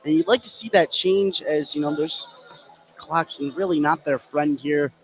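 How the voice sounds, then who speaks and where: A man's voice: fairly high pitch, a little slow, faint music behind, rough recording. A man working as a color commentator, giving conversational, analytical commentary on a live basketball game. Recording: faint gym background noise. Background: basketball game in a gym.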